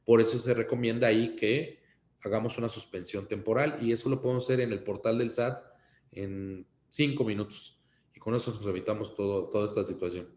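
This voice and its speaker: A man with a Mexican accent giving an informal instructional talk as an accountant, explaining things in a cautionary, halting way. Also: on the low side, fairly even intonation, darkish tone. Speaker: accountant giving tax advice. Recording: roomy, echoing sound.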